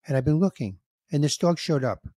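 A man in his sixties hosting a podcast, telling a story in a casual, animated way and acting out the dialogue, with some emotion. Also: a little high, brisk, husky, no echo, studio quality. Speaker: podcast host, comedian. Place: home room with record shelves. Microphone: studio mic on boom, headphones, video call.

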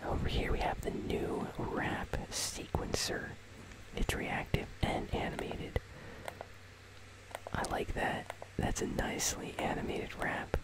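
A host whispering casual, conversational ASMR commentary on a video game in a breathy, unvoiced voice, close to the microphone. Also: takes it slowly, low-quality recording. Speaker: host of a gaming news channel.

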